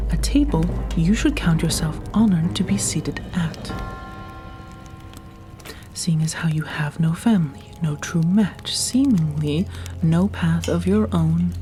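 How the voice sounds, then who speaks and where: An ancient vampire matriarch, acted by a woman in an ASMR roleplay. She speaks very slowly in a lowish voice, formal and cold, passing judgment with measured authority over a clear bed of music. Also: close to an everyday voice. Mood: stern, condescending. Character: imperious ancient female vampire elder, cold and judging.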